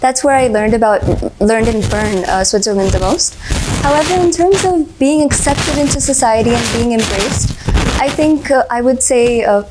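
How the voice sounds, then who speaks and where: A woman's voice: a little high, low-quality recording. Polite young woman, American accent. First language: Hindi. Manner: polite, says sir often, frequent uh fillers, light laughs. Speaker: interview candidate. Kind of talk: formal mock interview answers.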